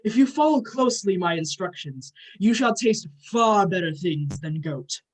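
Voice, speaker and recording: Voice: mid-range voice, animated, normal speed, close to an everyday voice, low-quality recording. An amateur actor playing a grand, short-tempered male thunder god with a formal, pompous manner in a myth play reading. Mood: lordly.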